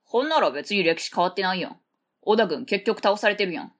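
A woman playing a blunt, sulky fourth-grade boy who speaks flatly with a Kansai accent, in an amateur web radio drama. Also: mid-range voice, fairly quick, soft background music. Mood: cheeky. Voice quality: flat, sullen.

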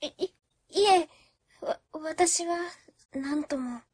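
A woman's voice: high voice, slow pace, a little mellow. A woman playing a timid, polite young office worker who also narrates a radio drama, meek and hesitant in manner. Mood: nervous. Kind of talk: web radio drama acting.

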